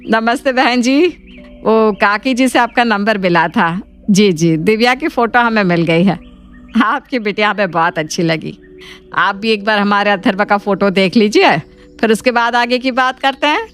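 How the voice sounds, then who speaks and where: A woman's voice: medium pitch, normal speed, fairly bright tone, clean tone, soft background music, natural voice. A woman voicing a polite, agreeable middle-aged mother of a groom, speaking formally in a cartoon story. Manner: courteous, formal.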